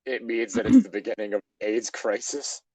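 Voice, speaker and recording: A man's voice: high-pitched, very animated, normal speed, vocal fry, a crowd in the background. A man reading a story aloud and bantering casually, in an amused, exasperated way, stumbling now and then. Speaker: podcast co-host reading a fan fiction aloud.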